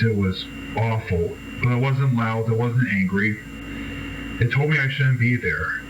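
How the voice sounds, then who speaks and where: Man playing haunted nineteen-year-old street kid, mid-pitched, halting horror confession, bitter, grave, slightly muffled lo-fi tape. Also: lightly acted. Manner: halting, grave, sweary, with dramatic pauses. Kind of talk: found-recording horror monologue acting. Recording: noisy, distant, muffled amateur radio-like tape.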